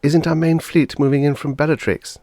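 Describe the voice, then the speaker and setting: A man's voice: on the high side, flat delivery, moderate pace, gravelly, dark tone, no room sound, clean studio sound, pitched above the performer's own voice. A male audiobook reader voicing an anxious, fluttery mother in a space colony. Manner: fluttery, mild. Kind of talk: audiobook character voice.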